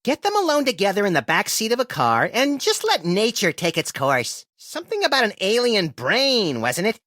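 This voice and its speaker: A hyperactive little cartoon rabbit creature for a comedy game. The pitch is very high for a male voice and leaps around constantly, with gleeful, unhinged wisecracks. The sound is dry and close, like a studio booth. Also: huge pitch swings, normal speed, bright, forceful tone, dry recording, exaggerated voice. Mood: wry, mischievous. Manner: gleeful, mischievous, wisecracking delivery.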